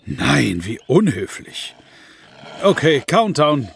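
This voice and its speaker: A man playing a slow, sarcastic druid. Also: on the high side, very animated, vocal fry, a little hiss or hum, exaggerated voice. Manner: dry and sarcastic. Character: sarcastic, grumpy male druid. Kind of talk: amateur parody audio drama.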